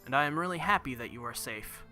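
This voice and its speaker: A man's voice: mid-range voice, animated, fast, pushed and bright, faint music behind. A man voicing an earnest young female knight in a visual novel, speaking formally and stiffly. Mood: relieved, grateful. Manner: formal, stiff, uncontracted speech.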